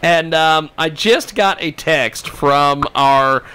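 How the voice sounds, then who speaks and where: A man hosting a casual live talk show, speaking haltingly and repeating words as he goes. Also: on the high side, sharp tone, clean tone. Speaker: radio show host. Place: radio studio.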